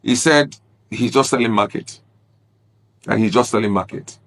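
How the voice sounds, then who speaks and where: A man's voice: medium pitch, normal speed, fairly bright tone. A man, an Igbo speaker, giving earnest, grieved commentary on a video clip and repeating his points. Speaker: reaction video host.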